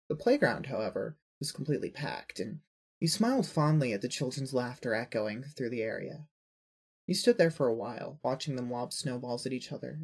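Husky, mid-pitched dramatic narrator. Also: darkish tone. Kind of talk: scripted dramatic second-person narration.

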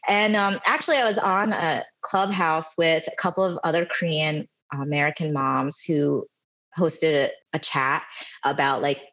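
A woman in her thirties speaks as a podcast guest with a somewhat low, husky voice. She talks in a loose, chatty way, full of fillers and self-deprecating asides. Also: steady tone, moderate pace, a bit bright, lo-fi sound. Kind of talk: casual conversational storytelling.

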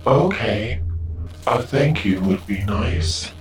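A man's voice: medium pitch, animated, takes it slowly. A man voicing a curt, testy starship computer in a space spoof. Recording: echoey, with music behind.

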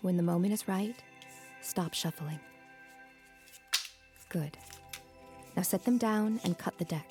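A woman's voice: fairly low pitch, slow pace, pauses often, over music. A woman playing a young, alluring tarot reader in a naturalistic audio drama, with a teasing, mystical manner. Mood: calm, mystical. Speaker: actress.

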